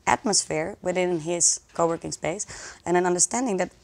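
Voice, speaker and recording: Woman guest in an interview, voice a bit on the low side, chatty and keen explaining stuff. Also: moderate pace, vocal fry. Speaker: interview guest, social project community organizer. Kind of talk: conversational interview.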